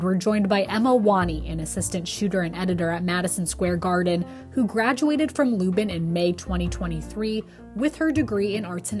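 A woman hosting a podcast, reading an introduction and then asking interview questions in an upbeat way. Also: lowish voice. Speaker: podcast host. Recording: faint music behind.

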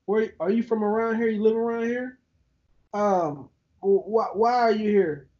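A man, telling an animated story in a casual podcast chat. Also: moderate pace, muffled recording. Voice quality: high-pitched voice. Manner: animated storyteller who laughs easily.

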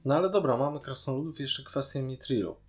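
Guy co-hosting a podcast, just chatting about a show, mid-pitched voice. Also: fast. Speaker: podcast co-host. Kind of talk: casual discussion of a TV series.

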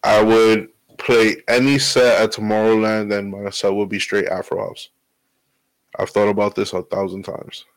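A man's voice: fairly low pitch, little pitch movement, moderate pace, no room sound, rough recording. A man talking as a podcast guest and DJ, laid-back and rambling, swearing freely in casual chat. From New York. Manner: laid-back, profane, rambling with "you know".

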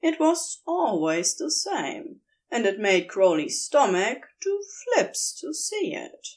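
A woman reading a piece of fan fiction aloud, narrating in an unhurried, expressive storytelling manner. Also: on the high side. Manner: slow, expressive storytelling with lively intonation. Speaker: podfic reader.

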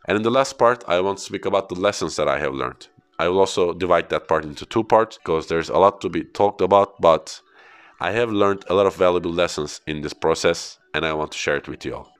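A man's voice: low-pitched, clear, resonant tone. A man in his thirties from Turkey, a native Turkish speaker, hosting a solo podcast in English and earnestly telling his own story. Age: 30s. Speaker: podcast host and corporate trainer.

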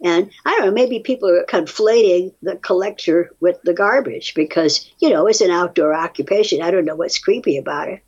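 A woman with a husky voice chatting casually as a podcast guest, musing aloud with firm opinions and rhetorical questions. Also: on the low side, fairly quick, few pauses, a little mellow, dull audio. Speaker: podcast guest reacting to research studies. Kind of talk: casual conversational commentary.

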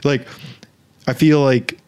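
A young man co-hosts a casual podcast, talking loosely in an easygoing way. He swears now and then. His voice sits at an average pitch for a man. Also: little pitch movement, takes it slowly, dark tone, clean tone. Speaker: podcast co-host. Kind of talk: casual chat.

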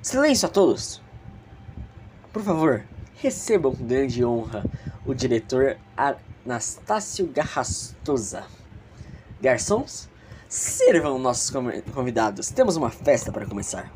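A man voicing a self-important, theatrical young gentleman who declaims pompously to his guests in an audio drama. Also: mid-range voice, sing-song, a little slow, a little hiss or hum. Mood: ceremonious.

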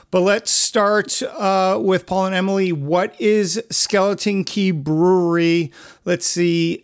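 A man hosting a conversational interview podcast, talking in an emphatic, halting way with pauses. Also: on the high side, gravelly. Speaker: podcast host.

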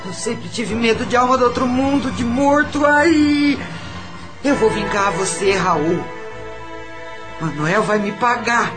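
A woman playing the tormented, bitter ghost of a wronged mother in a slow, dramatic radio drama performance. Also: a little high. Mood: distraught, vengeful. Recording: music under the voice.

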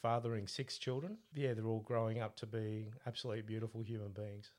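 A middle-aged man born in Italy, with an Australian accent, co-hosting a podcast and chatting casually as a relaxed storyteller. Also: fairly low pitch, fast. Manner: relaxed storyteller, laughs at own stories. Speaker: podcast co-host, coach. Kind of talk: casual conversational chat.

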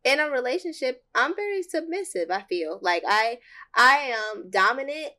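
Young woman with a loud projected voice. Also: high-pitched, sing-song, normal speed. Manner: earnest, reflective. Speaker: podcast co-host. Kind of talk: casual chat about dating.

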